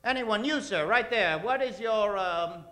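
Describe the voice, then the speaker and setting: A man's voice: high-pitched, unhurried, bright, forceful tone. A man hosting a live comedy radio show from a theater stage, bantering with the audience and drawing out names in a theatrical announcer style.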